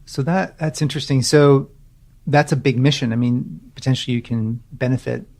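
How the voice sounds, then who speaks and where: A man hosting a conversational podcast, his voice carrying a noticeable gravelly fry. He chats loosely and circles back over his own phrases as he frames each question. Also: mid-range voice, steady tone, normal speed, a little mellow. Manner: chatty, restarts phrases often. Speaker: podcast host. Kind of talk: conversational interview.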